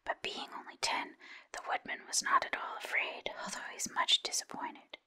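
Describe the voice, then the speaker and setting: A woman reading a children's story in a breathy, unvoiced whisper, as scripted ASMR-style narration. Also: normal speed, bright, forceful tone. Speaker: storybook reader.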